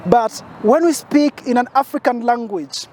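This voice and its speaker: A man's voice: extremely high pitch, moderate pace, darkish tone, a little hiss or hum. A man from Kenya, giving an impassioned advocacy speech outdoors and building his points through emphatic, repetitive lists. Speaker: petitioner.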